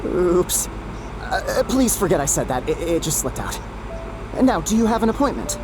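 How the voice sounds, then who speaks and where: An actor playing a polite, eager, flustered bathhouse boss in a video game, stammering and deferential. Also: male voice, high voice, sing-song, moderate pace, music in the background, low-quality recording. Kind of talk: video game character acting.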